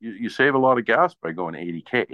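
A Canadian man with a low voice tells casual stories in a blunt, profane way. He sounds exasperated as he rambles on. The audio is dull and lo-fi, with the echo of a room around him. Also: lively intonation, quick pace. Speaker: radio presenter. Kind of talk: casual chat, ranting anecdotes.

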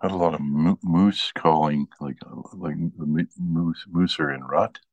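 A middle-aged man with a strikingly low voice talks in a loose, folksy way. He rambles casually as a presenter in informal chat. The sound is dry, with little room to it. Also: fairly even intonation, unhurried, no echo, highs cut off. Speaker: radio show presenter.

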